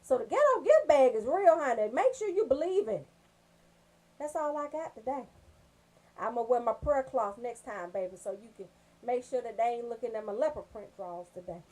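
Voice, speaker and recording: Woman, American accent, high-pitched voice, radio host, casual banter, slangy, joking. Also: expressive, a little fast, warm tone. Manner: slangy, joking, bantering, addresses listeners directly. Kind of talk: casual chatty radio show promos.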